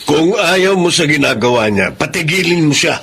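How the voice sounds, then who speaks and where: High-pitched, domineering Filipino father. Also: animated, normal speed, fairly bright tone. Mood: scornful, commanding. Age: older. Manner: indignant, commanding, emphatic. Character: stern, snobbish, domineering father-in-law. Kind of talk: Tagalog radio drama acting. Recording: faint background noise.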